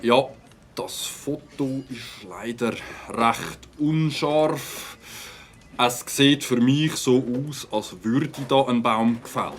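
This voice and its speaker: A man's voice: mid-range voice, slow pace, lightly acted. An actor playing a stern, pedantic village policeman with a formal, officious manner in an audio drama. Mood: measured, official.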